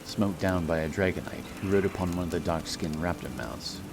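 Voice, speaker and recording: A man narrating a fantasy audiobook in a solemn, measured storytelling style. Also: sits low, creaky, noisy background. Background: music and rain sound effects.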